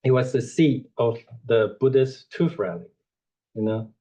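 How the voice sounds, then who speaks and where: A man gives an informal dharma lecture over a video call, unhurried and rambling, with frequent "you know" and self-corrections. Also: medium pitch, mellow. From Taiwan. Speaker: Buddhist teacher giving a talk. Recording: dull lo-fi online audio.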